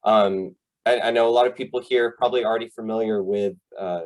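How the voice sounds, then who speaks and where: A man's voice: lowish voice, steady tone, quick pace, poor audio. A man from the United States, a psychologist giving an informal online presentation in a polite, conversational, somewhat hesitant way. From United States, Tennessee.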